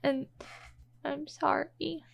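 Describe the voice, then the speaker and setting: A woman's voice: sits high, flat delivery, extremely slow, vocal fry. A woman playing in a tabletop role-playing game, casually slipping between chat and her character.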